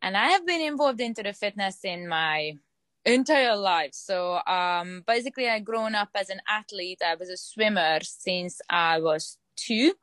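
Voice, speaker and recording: A woman's voice: medium pitch, expressive, unhurried, fairly bright tone, no echo. A woman in her late twenties from Hungary with a Hungarian accent, a fitness trainer answering interview questions earnestly, often searching for words and repeating phrases. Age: 20s.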